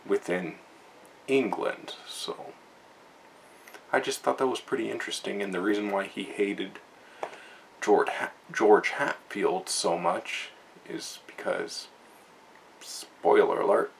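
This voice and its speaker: A young man talks through a book review in a loose, rambling way, often circling back with fillers and restarts. His voice sits low with a gravelly, fry-laden texture. A faint hiss of background noise runs under the recording. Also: takes it slowly, pauses often. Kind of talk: casual spoken book review from notes.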